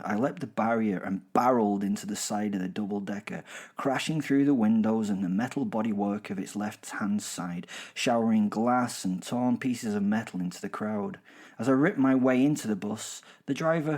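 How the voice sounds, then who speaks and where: Guy doing a british werewolf killer for a horror audio drama, kinda low voice, chatty and smug, rambles with dark jokes. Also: breathy edge. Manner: wry, rambling, darkly humorous asides. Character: gleeful, boastful werewolf killer on the run. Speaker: narrator and host of a horror audio serial. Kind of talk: chatty first-person dramatic storytelling.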